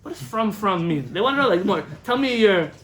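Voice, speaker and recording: A young man from the United States giving a lively lecture as a rabbi, telling stories, acting out quotes and laughing at his own jokes. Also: high voice, fast, a little hiss or hum. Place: lecture hall in front of an audience. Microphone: camera recording of a live talk.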